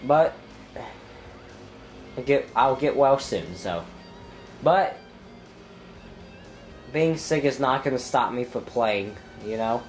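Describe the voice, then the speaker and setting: A young man with a stuffy nose and a clogged throat, commenting casually on his own racing game and thinking aloud with ums and sudden loud exclamations. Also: mid-range voice, expressive, slow, pauses often, soft background music. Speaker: gameplay commentator. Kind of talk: casual let's-play commentary.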